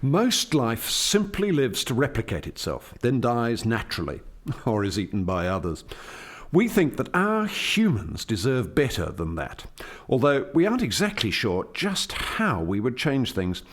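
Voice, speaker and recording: A man acts as an alien scientist and creator in a radio drama. He is reflective and earnest, explains in formal language, and moves freely up and down around a medium pitch. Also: wildly swooping pitch, unhurried. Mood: reflective, regretful. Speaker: lead scientist. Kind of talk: radio play dialogue.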